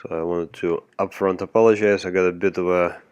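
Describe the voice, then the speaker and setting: A man hosts a market recap while fighting a cold, talking through charts at a measured pace with plenty of ums. His voice sits low yet comes across smooth and resonant. The recording is dry and close, with no room sound. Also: fairly even intonation, darkish tone, no echo. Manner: measured, explanatory, with frequent ums. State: has a cold. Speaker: market analyst host. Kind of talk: screen-share market recap.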